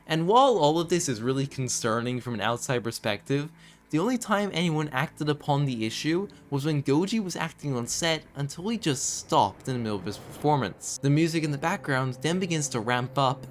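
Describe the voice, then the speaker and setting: A man reading scripted commentary in a video essay, with measured storytelling broken by conversational asides. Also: mid-range voice, a little hiss or hum. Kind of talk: scripted narration, horror series commentary. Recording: background music under voice. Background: background music.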